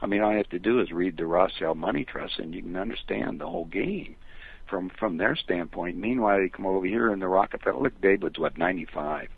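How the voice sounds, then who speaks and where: Gravelly older American man on the phone. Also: sits low, animated, fairly quick, no room sound. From United States. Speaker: phone interview guest. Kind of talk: conversational opinion talk. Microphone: telephone. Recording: thin, phone-like telephone line.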